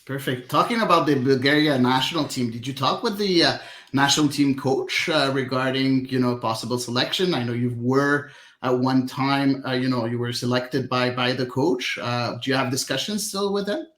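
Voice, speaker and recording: Man, interview host, medium pitch, brisk questions, echoey room. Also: fairly bright tone. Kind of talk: casual interview hosting.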